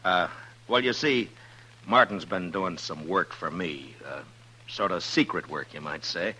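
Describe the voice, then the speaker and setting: Frontier marshal: low, bright-edged, dry and steady, vintage radio drama. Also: male voice, unhurried. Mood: careful, hesitant. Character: steady, laconic male frontier marshal of the Old West. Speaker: actor in a radio western. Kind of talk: 1950s radio drama acting. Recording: humming, noisy 1950s radio recording.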